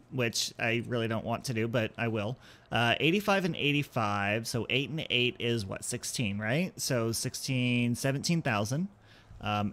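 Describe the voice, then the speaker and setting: Man, medium pitch, casual let's-play host, thinking aloud step by step. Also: a bit bright. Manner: thinks aloud, talking through each step. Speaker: gaming video host.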